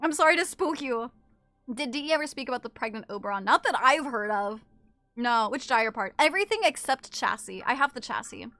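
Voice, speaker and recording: A woman livestreaming a game and chatting casually with viewers, animated, joking and sweary. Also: a little high, a little fast, dry recording. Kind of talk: casual gaming stream chat.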